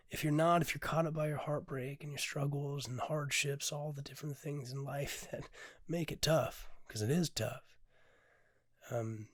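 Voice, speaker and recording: A hoarse man hosting his own podcast, talking candidly and unpolished with many ums, swearing freely, sometimes dropping to a hush, and reading his poetry. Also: on the high side, no room sound, studio quality. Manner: drops to a hush at times. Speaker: podcast host and poet. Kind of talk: casual reflective monologue with poetry reading.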